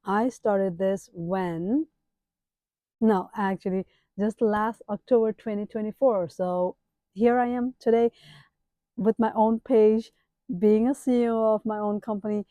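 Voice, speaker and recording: A woman's voice: medium pitch, steady tone, a little slow, no room sound, narrow, tinny audio. A woman in her thirties with a Nepali accent, chatting casually to viewers in a self-introduction vlog, addressing them directly with emphatic asides. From Kathmandu, Nepal. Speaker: page owner and digital entrepreneur.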